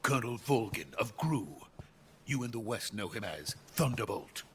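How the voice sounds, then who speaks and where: A man playing an anxious captive Soviet scientist in a video game, speaking in an urgent, deliberate manner. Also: on the low side, animated, slight background noise. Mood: grave. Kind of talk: dramatic video game acting.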